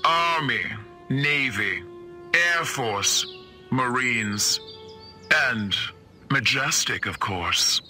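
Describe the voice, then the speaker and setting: A cold secret-agency chief in a video game, his voice extremely bright and hard-edged over faint music. He speaks with measured, menacing authority at a middle pitch. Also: expressive, exaggerated voice. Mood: smooth, assertive. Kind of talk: 1950s-set video game cutscene acting.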